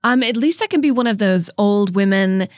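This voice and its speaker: A woman's voice: medium pitch, expressive, normal speed, dry recording. Young woman, podcast host, loose and playful, meandering answers, dry close mic. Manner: playful, rambling, lots of "like". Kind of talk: casual comedy game chat.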